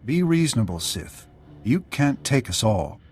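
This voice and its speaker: An actor playing a stern, defiant Jedi warrior in a video game, firm and declamatory in delivery. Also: male voice, medium pitch, sing-song, a little slow, a little mellow, a little hiss or hum. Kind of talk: video game cutscene acting.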